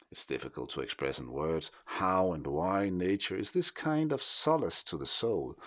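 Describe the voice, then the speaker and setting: A man with a Danish accent, Danish being his first language, narrates in a measured, contemplative way. His voice sits extremely low and gravelly. His intonation rises and falls expressively. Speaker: essayist narrator. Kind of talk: reflective scripted narration.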